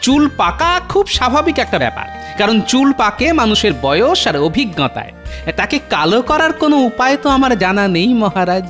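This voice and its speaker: A man's voice: high voice, big pitch swings, fairly quick, a bit bright, soft background music. A man playing a clever, level-headed court jester in a comedy cartoon, reasoning in a wry manner. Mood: calm, reasoning. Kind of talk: Bengali comedy cartoon voice acting.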